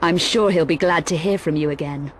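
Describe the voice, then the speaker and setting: A woman's voice: low voice, brisk, natural voice. Actress as cool, menacing adventurer woman over noisy backdrop. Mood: cool, threatening. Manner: dry, menacing, deadpan. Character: tough, cool, sardonic young woman adventurer making veiled threats. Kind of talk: video game cutscene acting.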